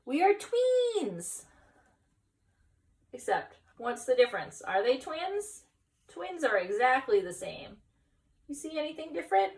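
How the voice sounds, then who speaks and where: A woman reading a picture book aloud expressively, pausing to explain and ask children questions. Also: high-pitched, gravelly, recorded from a distance. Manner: animated, pauses to explain and question. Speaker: teacher reading at school story time. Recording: voice some way from the mic.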